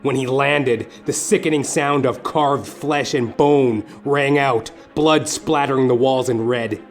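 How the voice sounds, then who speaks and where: A man's voice: mid-range voice, fairly even intonation, a little slow, faint music behind, natural voice. A man playing a world-weary, down-on-his-luck private detective, narrating a comic noir audio play with wry delivery. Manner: wry, hard-boiled storytelling.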